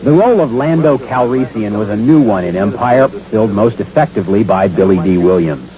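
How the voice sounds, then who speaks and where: A man narrating a scripted feature as a reporter, polished and measured. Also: fairly low pitch, animated, crowd noise, poor audio.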